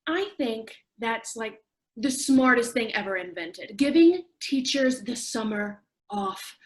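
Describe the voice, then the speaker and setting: Woman acting as sharp-tongued teasing sister, high-pitched, blunt, casual, stage drama. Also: lively intonation, slow. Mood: needling. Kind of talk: staged play reading.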